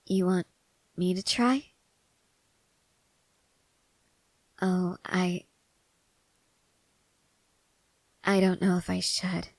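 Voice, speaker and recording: A woman playing a weary young female soldier, guarded but tender, in an intimate ASMR roleplay, speaking in a hushed, halting way with soft whispers. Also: lowish voice, steady tone, dry recording, low-quality recording. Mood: hesitant, shy.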